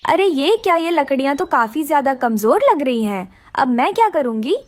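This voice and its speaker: A woman's voice: high-pitched, lively intonation, moderate pace. A woman voicing an earnest, plaintive young female bird in a children's cartoon. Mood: worried. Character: distressed, hardworking, polite young female bird. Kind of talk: Hindi children's cartoon character voice.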